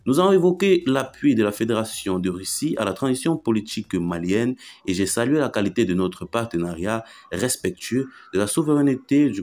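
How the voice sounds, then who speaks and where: A man reading a scripted news chronicle as presenter, formal and measured with emphatic phrasing. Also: on the low side, a little mellow.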